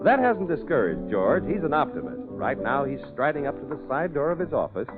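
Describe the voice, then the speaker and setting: Announcer: American man, 1940s radio style, animated highish voice, thin old broadcast, prominent music. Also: a little fast. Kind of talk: 1940s radio scripted narration. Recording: muffled 1940s radio recording, brass music behind.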